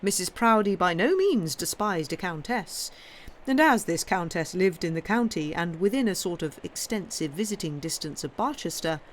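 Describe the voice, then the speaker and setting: A woman narrating a Victorian novel as an audiobook reader, with expressive, measured storytelling and emphatic phrasing. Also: lowish voice, a little hiss or hum. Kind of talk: literary narration of a Victorian novel, reading aloud.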